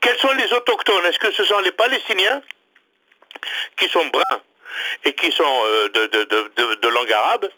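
Insistent bright-voiced man debating on radio. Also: sits high, animated, normal speed, low-quality recording. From not from France. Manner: insistent, presses with repeated questions.

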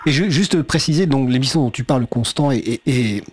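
A man's voice: mid-range voice, animated, brisk, a little hiss or hum. Male radio host, casual, filler-heavy announcing. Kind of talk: community radio hosting.

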